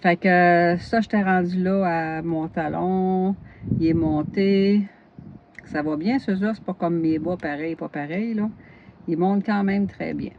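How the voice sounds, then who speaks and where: A woman's voice: lowish voice, steady tone, unhurried, muffled recording, slight background noise. Tired, laughing Québécois woman. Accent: Québécois French. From northern Gaspésie, Quebec. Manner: chatty, laughs often, jumps between topics. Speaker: knitting vlogger. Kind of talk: casual chat.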